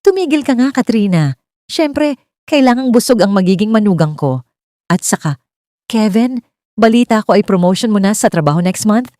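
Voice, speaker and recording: A woman's voice: mid-range voice, animated, moderate pace, mellow, no echo, clean studio sound, voice pushed up, lightly acted. A woman voicing an older mother-in-law, bossy but fondly teasing, in a story drama.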